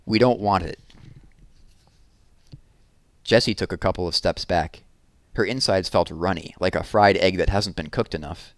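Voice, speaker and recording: Lowish-voiced man reading a story aloud. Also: moderate pace, dry recording. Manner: expressive storytelling. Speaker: reader. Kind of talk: reading a children's novel aloud.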